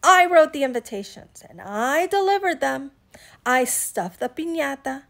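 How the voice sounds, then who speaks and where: A woman's voice: a little high, lively intonation, normal speed. A woman voicing a hardworking female storybook iguana, emphatic and insistent with her lazy friends. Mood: angry, insistent.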